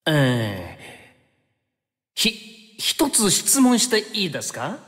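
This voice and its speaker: A man's voice: a little high, wildly swooping pitch, takes it slowly, fairly bright tone, exaggerated voice. An actor playing an easygoing young male time traveler in an anime game, stammering and flustered, with casual yet nervously polite speech. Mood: hesitant, polite. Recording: long reverb over background music.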